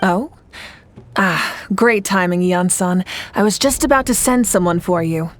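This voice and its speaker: An actress voicing a confident female leader of a nation at war in a video game, measured and reassuring. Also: on the low side, a little hiss or hum, natural voice. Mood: steady.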